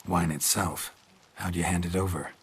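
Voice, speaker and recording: An actor playing a gruff, cool-headed male monster hunter in a video game, speaking in clipped, unemotional phrases. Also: low voice, fairly even intonation, moderate pace. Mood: terse questioning. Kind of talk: understated video game acting.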